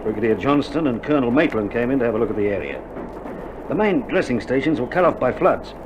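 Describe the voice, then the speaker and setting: An actor playing a weary, dry-humoured Australian army doctor in a wartime radio drama, speaking in a colloquial, matter-of-fact way. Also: male voice, medium pitch, lively intonation, quick pace, natural voice. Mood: weary, wry. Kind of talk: recorded radio drama acting. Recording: dull vintage vinyl recording, loud noise.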